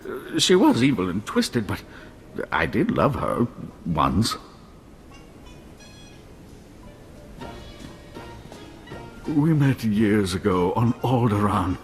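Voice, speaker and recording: A man's voice: lowish voice, sing-song, unhurried, faint music behind. A man voicing a pampered young nobleman held captive, whiny, indignant and stammering. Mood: shaken.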